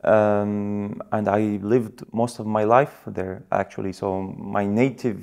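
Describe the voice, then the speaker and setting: A young man from France, whose mother tongue is Suret, giving thoughtful interview answers and often pausing to search for words. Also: lowish voice, steady tone, creaky, a little mellow. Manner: reflective, searching for words with many fillers. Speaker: guest, founder.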